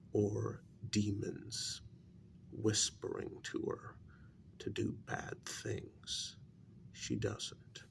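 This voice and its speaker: Man playing grave paranormal consultant, ASMR role-play, soft and breathy, heavy vocal fry, halting blunt delivery, noisy recording with clicks. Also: fairly low pitch, heavily acted. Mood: grave, firm. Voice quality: breathy, gravelly soft voice dropping near whisper. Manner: slow, halting, deliberate delivery with long pauses.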